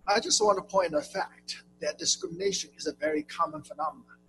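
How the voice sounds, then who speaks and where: A man with a Chinese accent interpreting a sermon into English, halting and often restarting. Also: a little high, noisy background. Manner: halting, repeats and restarts while translating.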